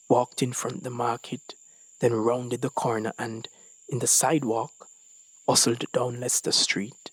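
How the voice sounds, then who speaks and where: A man narrates a short story in a mid-range voice. His delivery is very slow and deliberate, with dramatic pauses. The recording is close and dry. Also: mid-range voice, a bit bright, husky, no echo, poor audio. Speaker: story reader.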